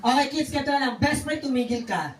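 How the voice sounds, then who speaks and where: A woman's voice: sits high, animated, fast, recorded from a distance. A woman hosting a live puppet show for children, playfully scolding and giving instructions. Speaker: children's party ventriloquist. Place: birthday party venue. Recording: echoey, noisy party venue from afar.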